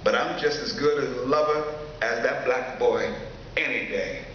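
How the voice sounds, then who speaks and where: A man's voice: mid-range voice, measured pace, fairly bright tone, close to an everyday voice. A man voicing a lovesick, hard-drinking rich boss, emotional and pleading, in a stage story reading. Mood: maudlin, boastful. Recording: echoey theater hall, muffled, faint noise.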